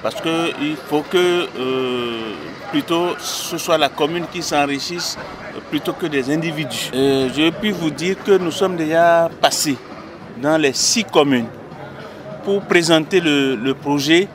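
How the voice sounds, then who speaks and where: A man with a Beninese French accent gives formal, measured interview answers as an association president, often pausing mid-phrase. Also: on the high side, crowd noise. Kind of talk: interview answers, explaining a project. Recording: noisy outdoor urban recording, traffic behind.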